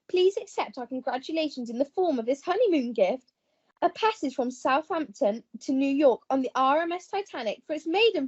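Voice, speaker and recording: A woman's voice: high-pitched, darkish tone, highs cut off. A young woman playing a plucky, gushing, posh heroine with old-fashioned jolly phrasing in a melodramatic radio play. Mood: gushing, excited.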